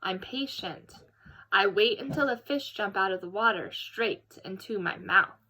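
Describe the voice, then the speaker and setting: A woman reader acts out an elderly male bear, a wise grandfather in a children's storybook. He speaks patiently and reassuringly. The tone is bright and gently proud. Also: mid-range voice, a little slow, husky, exaggerated voice, poor audio. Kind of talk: children's storybook read-aloud.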